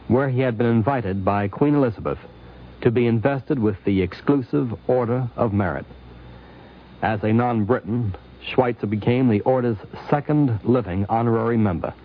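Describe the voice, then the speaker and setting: A man narrating a scripted 1950s radio program in a formal, measured way. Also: lowish voice. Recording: narrow tinny 1950s radio recording.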